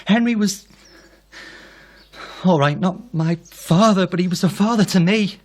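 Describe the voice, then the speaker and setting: Radio drama role: impostor son, earnest, needy, guilt-laden, confessional delivery. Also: male voice, high voice, unhurried, darkish tone. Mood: wistful confession.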